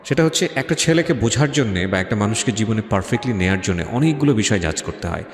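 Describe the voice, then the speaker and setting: A male radio host with a Bangladeshi accent speaks in a lowish, very animated voice. He reflects and advises, slipping in English phrases. His voice carries a noticeable echo. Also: fast talker. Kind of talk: reflective radio interview hosting. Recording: echoey reverb, faint music bed. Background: faint music.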